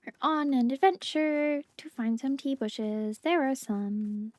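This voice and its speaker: A woman's voice: high voice, slow pace, a little mellow, no room sound. A woman giving casual, chatty video game commentary, murmuring asides to herself. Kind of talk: casual gameplay commentary with dialogue read aloud. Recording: game music behind the voice.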